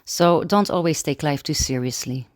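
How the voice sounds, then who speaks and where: A woman from Belgium, a painter calmly reading a personal statement about her work. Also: low voice, moderate pace.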